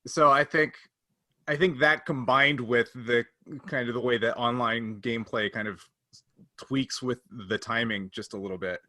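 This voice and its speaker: A man's voice: medium pitch, steady tone, moderate pace, sharp tone, dry recording. A man co-hosting a podcast, casually thinking aloud as he explains his points. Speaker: podcast co-host. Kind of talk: casual discussion.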